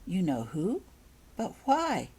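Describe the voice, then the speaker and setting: A woman's voice: lowish voice, wildly swooping pitch, slow pace, a little mellow, slightly rough, exaggerated voice. A woman voicing a hesitant, curious young schoolgirl in a storybook reading, speaking in brief replies. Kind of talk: audiobook character voice.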